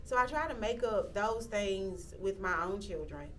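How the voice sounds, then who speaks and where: A woman, speaking reflectively in an interview, often pausing with "um" and restarting sentences. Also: medium pitch, animated, a bit bright, far from the mic, a little hiss or hum. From Athens, Georgia, US. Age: 30s. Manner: reflective, with frequent "um" fillers and restarts. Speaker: interviewee, local county commissioner. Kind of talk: casual interview talk.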